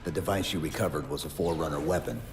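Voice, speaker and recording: An actor playing a stoic, terse armored supersoldier in a video game cutscene, grim and commanding. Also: male voice, very deep voice, fast talker. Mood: grim, steady. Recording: long reverb, music behind.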